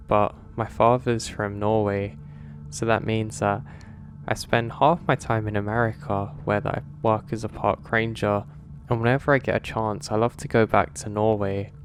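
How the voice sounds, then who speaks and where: A man calmly narrating listener-submitted horror stories in a measured, scripted delivery. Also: on the low side, a little hiss or hum. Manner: calm, measured storytelling delivery.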